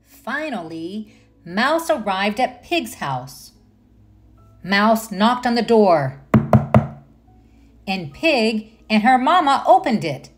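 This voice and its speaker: Woman, children's storybook narrator, unhurried, very lively intonation, faint music behind. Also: fairly high pitch. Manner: expressive, addressing young listeners. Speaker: storybook reader. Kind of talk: children's read-aloud narration.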